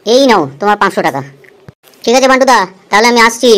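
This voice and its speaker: A woman's voice: a little high, lively intonation, fast, fairly bright tone. A woman voicing an aggrieved, scheming village father in a cartoon, talking in a chatty, wheedling way. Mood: pleased. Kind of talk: cartoon voice acting.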